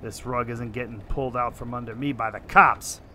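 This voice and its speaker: A man's voice: medium pitch, fairly even intonation, brisk, bright, forceful tone, echoey room, a little hiss or hum. A man playing a stubborn, wry 1940s private detective in a noir radio drama, speaking in terse, slangy tough talk on a close mic. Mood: tough, insistent. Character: hard-boiled, stubborn 1940s private detective, gruff uncle. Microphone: close studio microphone, headset.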